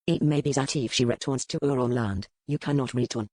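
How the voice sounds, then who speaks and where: A man playing a smooth, probing French merchant with a French accent in a historical romance audiobook. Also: medium pitch, a little fast, darkish tone, no room sound, natural voice. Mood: insistent, disapproving. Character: suave, probing French merchant in colonial port. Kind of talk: dramatised audiobook dialogue.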